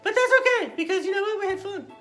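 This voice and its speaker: A woman's voice: very high voice, animated, fast, sharp tone, faint music behind, full character voice. A woman voicing a playful, sassy little girl puppet who chatters in a childlike, bossy, folksy way during an improvised puppet show. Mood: upbeat, encouraging.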